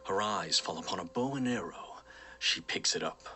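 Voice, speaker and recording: A man's voice: sits low, animated, normal speed, sharp tone, heavily acted. A man playing a chatty storyteller who narrates a sci-fi radio drama with suspense and asides to the listener. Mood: suspenseful. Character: colloquial, chatty male storyteller of a sci-fi space adventure.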